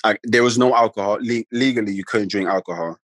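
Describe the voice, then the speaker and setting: A man, a guest telling stories in a relaxed, rambling way on a video call from his phone at home. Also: on the low side, fast talker, dry recording. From the Bronx, New York. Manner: laid-back, rambling, many "you know what I mean" tags. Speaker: guest, pro basketball player. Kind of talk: casual storytelling chat. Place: room at home, indoors. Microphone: phone camera held close on a video call.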